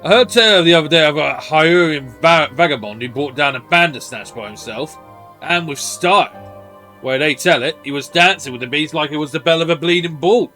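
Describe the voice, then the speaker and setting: A man voicing a folksy, rough-spoken hunter from a fantasy game, plain and colloquial. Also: fairly high pitch, fairly quick, bright, forceful tone, faint music behind. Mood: gruffly helpful. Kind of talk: reading game dialogue in character.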